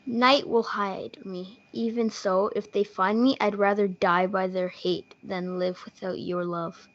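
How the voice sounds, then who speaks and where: A woman's voice: medium pitch, steady tone, a little slow, no echo, natural voice. Student reader's theatre: lovestruck, yearning young man, played by a female performer. Earnest, dry lo-fi sound. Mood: earnest devotion. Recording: dull lo-fi audio.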